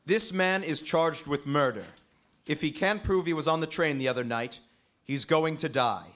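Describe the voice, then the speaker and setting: An actor playing a composed, persistent gentleman sleuth in an old-time radio mystery. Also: fairly high pitch, moderate pace, lightly acted. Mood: pressing. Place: live stage performance at a convention.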